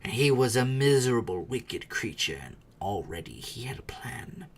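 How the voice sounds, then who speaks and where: Guy reading a fantasy story dramatically, medium pitch, bit raspy, goes from hushed to big and emphatic. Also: sing-song, normal speed. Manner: theatrical, shifting between hushed and emphatic. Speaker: audiobook narrator.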